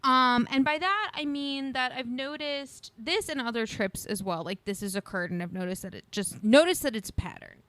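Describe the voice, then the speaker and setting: A woman's voice: high-pitched, lively intonation, moderate pace, few pauses, a bit bright. A woman around thirty co-hosting a podcast, chatting casually and a bit sniffly, rambling with frequent laughs. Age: 30s. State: sniffly, congested. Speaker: podcast co-host. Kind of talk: casual chat.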